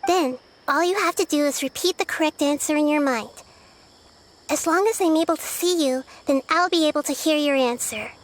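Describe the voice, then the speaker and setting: A woman voicing a small, childlike goddess who talks in a soft, calm and earnest way. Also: high voice, moderate pace, slight background noise, natural voice. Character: small childlike girl goddess, gentle and curious. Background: game music.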